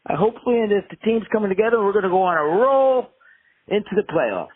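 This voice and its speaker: Over a tinny, narrow phone line, a man leaves a casual fan voicemail as a caller. His voice is high-pitched and husky. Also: expressive, fast talker. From Southern California.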